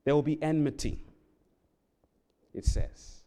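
A man from England with a London accent, preaching a sermon in a church. He speaks earnestly and climbs sharply in pitch and volume on key words. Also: level tone, takes it slowly, dark tone. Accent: London English. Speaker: Bible worker preaching. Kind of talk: sermon with prayer and scripture reading. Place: church sanctuary.

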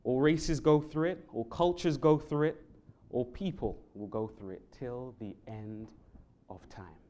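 A London-accented man preaches earnestly from the pulpit in a church, reading scripture aloud. His voice is mellow and dark at a middling pitch. He pushes up sharply in pitch and loudness on the words he wants to stress. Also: a little slow. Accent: London English. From England. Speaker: Bible worker preaching. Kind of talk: sermon with prayer and scripture reading. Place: church sanctuary.

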